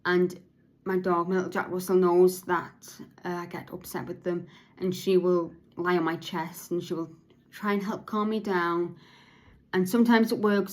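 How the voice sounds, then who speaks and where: Low-voiced woman talking earnestly. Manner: says her voice is sometimes monotone; halting, with frequent restarts and repeated words. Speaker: podcast host.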